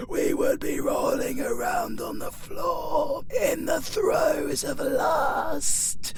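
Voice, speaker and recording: A British man acting a gleefully wicked, lustful necromancer in an audio roleplay, with theatrical delivery between murmurs and shouts. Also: medium pitch, heavily acted. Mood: seductive menace. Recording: clearly noisy ambient background.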